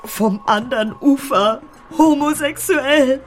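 High-pitched, overwrought wife. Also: female voice, takes it slowly, faint music behind. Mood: distraught, scandalized. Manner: overwrought, dramatic. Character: hysterical, scornful wife and mother of a teenager. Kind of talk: German radio drama acting.

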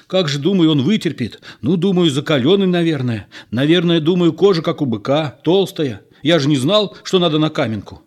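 A man's voice: on the high side, animated, normal speed, hardly any pauses, darkish tone, voice pushed up. A man voicing a clumsy young man who flusteredly excuses himself. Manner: flustered, apologetic.

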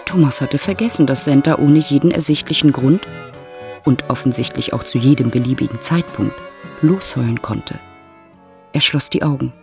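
A man's voice: medium pitch, moderate pace, creaky, over music, lightly acted. A man narrating a love story in a literary audio drama. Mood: composed narrating.

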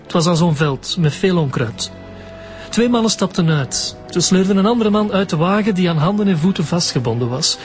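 A man's voice: sits high, expressive, moderate pace, soft background music. A man playing a defensive, nervous young witness in a Flemish radio drama, hesitant and evasive. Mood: uneasy. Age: young adult.

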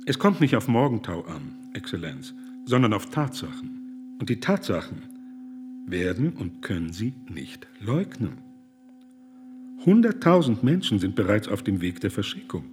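An earnest, indignant German humanitarian. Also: male voice, lowish voice, big pitch swings, a little slow. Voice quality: earnest, accusing, pointed. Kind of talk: radio play acting.

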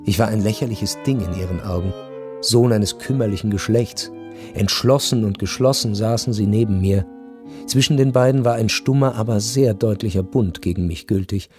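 An actor playing a melancholy, estranged husband home from war, narrating a radio drama in a measured, reflective, wry way. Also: male voice, fairly low pitch, darkish tone, faint music behind, natural voice. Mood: bitter, wry.

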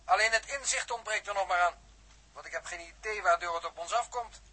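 Know-it-all astronaut scientist, old radio play, high male voice, bit raspy. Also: fairly quick, bright, forceful tone. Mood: emphatic, lecturing. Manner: precise, pedantic. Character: pedantic, eloquent male scientist aboard a spaceship. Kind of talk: 1960s Dutch radio drama acting.

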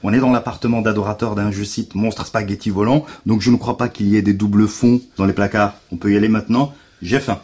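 A man's voice: fairly low pitch, fairly even intonation, brisk, a little mellow, husky. A man playing a wry, talkative, reluctant investigator in a naturalistic audio drama, mocking and grumbling. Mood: impatient, sardonic. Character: sarcastic, hungry, reluctant male investigator, grumbling sidekick. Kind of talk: naturalistic French audio fiction acting.

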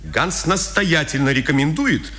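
An insinuating head waiter hiding a secret agent's menace. The voice is formal and fairly high for a man, with swooping intonation, in old radio-play style. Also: lively intonation, fairly bright tone. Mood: quietly threatening. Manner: formal, measured, veiled menace. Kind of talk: 1950s radio drama acting.

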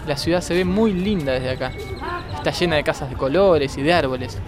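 A man's voice: on the high side, brisk, crowd noise, lightly acted. An actor playing an affectionate, poetic traveller who misses his beloved, speaking tenderly in a radio drama. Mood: wistful, describing. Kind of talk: radio drama acting, intimate conversation. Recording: remote recording over outdoor ambience and music.

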